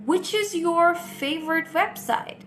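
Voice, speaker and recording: A woman teaching an English speaking-test lesson, speaking slowly and clearly and repeating each question for learners. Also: very high-pitched, a bit bright, slight background noise.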